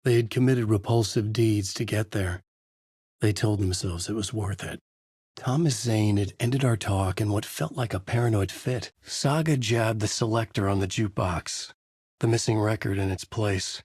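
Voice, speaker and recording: A brooding, haunted horror novelist for a game, speaking in a low, husky register. He delivers grim noir-style inner monologue, close and dry with no room sound around him. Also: normal speed, a little mellow, no room sound. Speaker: narrator. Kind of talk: video game voice lines.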